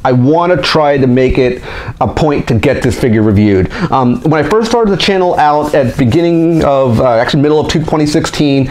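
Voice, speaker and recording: A man's voice: medium pitch, darkish tone. A man hosting a toy collector vlog, talking casually and candidly into a desk microphone, often restarting and voicing his frustrations. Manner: chatty, candid, frequently restarts and vents frustration. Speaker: toy collector channel host. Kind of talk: casual monthly update vlog.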